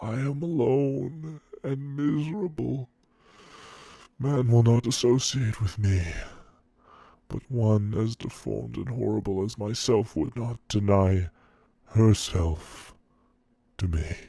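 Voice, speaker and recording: A man performing a dramatic monologue as a wretched, bitter monster pleading with his creator, solemn and theatrical with halting pauses. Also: fairly low pitch, vocal fry, dark tone, no room sound. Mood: miserable, pleading.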